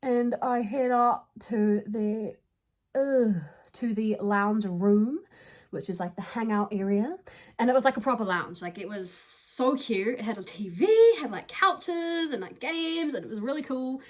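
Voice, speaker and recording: Young female vlogger, New Zealand accent, higher-pitched voice, relaxed informal storytelling straight to the viewer. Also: a little slow. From New Zealand, from Dunedin. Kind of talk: casual chatty storytelling to camera.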